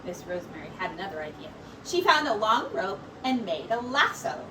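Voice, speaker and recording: A woman teacher reading a picture book aloud in slow, expressive storytelling. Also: mid-range voice, recorded from a distance, a little hiss or hum, low-quality recording.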